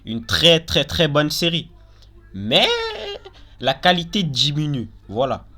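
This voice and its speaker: A man's voice: on the high side, expressive, slow pace. A young man hosting a casual countdown video, talking informally and giving opinions, often repeating and qualifying himself. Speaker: video host.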